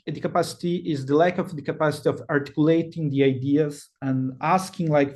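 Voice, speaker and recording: A man's voice: fairly high pitch, a little mellow. A man in his thirties with a Brazilian accent, giving a casual webinar talk with many fillers and restarts. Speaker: webinar speaker. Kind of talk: casual presentation.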